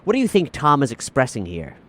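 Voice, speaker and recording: A man's voice: medium pitch, wildly swooping pitch. A man playing a demanding, pompous acting teacher in an improvised audio role-play, theatrical and exasperated, repeating himself for emphasis. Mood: disappointed, stern. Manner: dramatic pauses, repeats himself, pompous, searching for words.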